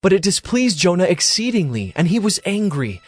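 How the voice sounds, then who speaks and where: A man's voice: high-pitched, very animated, moderate pace. A man narrating scripture from a script in a dramatic storytelling style.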